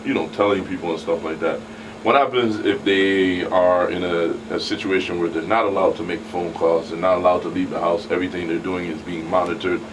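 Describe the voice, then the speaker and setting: A man with a low voice talks casually in a panel discussion, often doubling back on words as he finds his phrasing. He is off the mic, with clear air-conditioning noise behind him. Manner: halting, repeats words as he searches for phrasing. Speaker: talk show panelist. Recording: distant mic, clear background hum.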